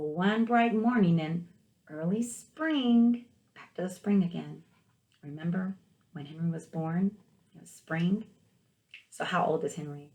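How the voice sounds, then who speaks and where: A woman teacher reading a picture book aloud to young children, expressively, with gentle questioning asides to the listeners. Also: lowish voice.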